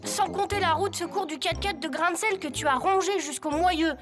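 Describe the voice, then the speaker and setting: A woman voicing an earnest, helpful young boy hero in a French children's cartoon, with expressive delivery. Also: very high voice, fairly quick, over music. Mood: warning.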